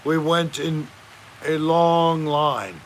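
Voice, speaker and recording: A man voicing a goofy old Icelandic man who tells rambling tall tales in a slow, loud way. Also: on the high side, a little hiss or hum.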